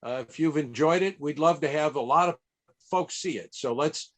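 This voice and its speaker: A middle-aged American man hosting an online event in a casual, chatty, halting way on a video call. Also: a little high. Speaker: event host and interviewer.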